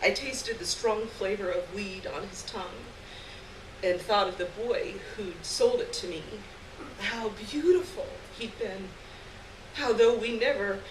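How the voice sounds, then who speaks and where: A woman giving an expressive dramatic reading of a literary short story live on stage, acting out the dialogue. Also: mid-range voice, measured pace. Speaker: story reader. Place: live stage event, recorded from a distance. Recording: roomy distant live recording, clear background noise.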